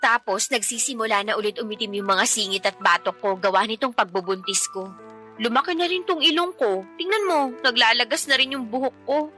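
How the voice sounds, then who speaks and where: A woman's voice: fairly high pitch, lively intonation, fairly quick, pushed and bright, soft background music. A woman playing a tired, pregnant young mother who feels insecure in a radio drama, speaking emotionally and expressively. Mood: hurt. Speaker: wife character in a radio drama.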